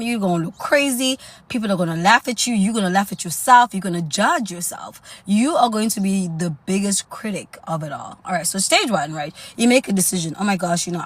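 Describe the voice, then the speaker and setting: A young woman from South Africa with a South African accent, chatting sassily on a live stream and calling her viewers honey and girl. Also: mid-range voice. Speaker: dating lifestyle live streamer. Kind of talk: casual live stream chat.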